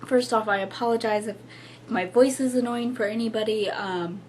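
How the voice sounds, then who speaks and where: A hoarse young woman with a husky voice, chatting casually to camera as the host of a knitting show, with lots of fillers and little laughs. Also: fairly high pitch, moderate pace, darkish tone, a little hiss or hum. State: hoarse, losing her voice.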